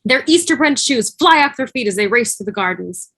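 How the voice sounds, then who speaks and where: A woman's voice: a little high, lively intonation, fairly quick, a bit bright. A woman reading scripted stage directions as narrator of a fan table read over a video call. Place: remote video call, music behind.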